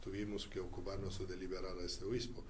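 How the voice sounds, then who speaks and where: A man who learned Spanish as a second language recounts his years as a Church diplomat in an interview, speaking deliberately with frequent pauses. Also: low voice, little pitch movement, a little hiss or hum. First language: not Spanish.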